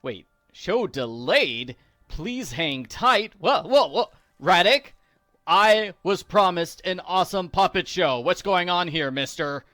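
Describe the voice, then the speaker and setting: A man's voice: high voice, expressive, slow, sharp tone, no room sound, studio quality. A man giving a casual, playful commentary as he walks through a video game. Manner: playful, jokey. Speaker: video game walkthrough host. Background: faint game music.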